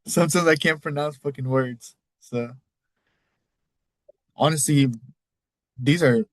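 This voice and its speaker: Man, Mexican accent, casual rambling recap, swears freely, middle pitch, dry close sound. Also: no room sound, rough recording. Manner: rambling, loose, cusses casually. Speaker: league podcast host. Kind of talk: casual solo recap talk.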